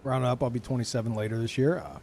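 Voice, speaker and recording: A man's voice: mid-range voice, fast, vocal fry, a little mellow, a little hiss or hum. A man in his thirties casually chatting and swearing as he reads out chat messages while streaming a game.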